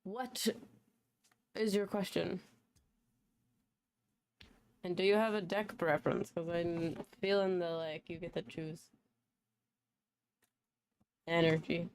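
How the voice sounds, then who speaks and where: A woman's voice: fairly low pitch, steady tone, slow, long pauses, a little hiss or hum, rough recording. A young woman who is losing her voice, chatting casually and laughing often as she hosts a live tarot reading stream. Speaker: tarot reader and livestream host. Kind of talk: casual livestream chat.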